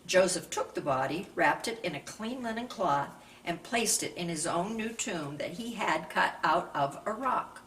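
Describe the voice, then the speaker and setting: A woman reading a Bible narrative aloud from a script in a measured, deliberate way, as a scripture reader. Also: on the low side, expressive, a bit bright. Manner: measured, raises voice for quoted speech. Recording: distant microphone with faint background noise.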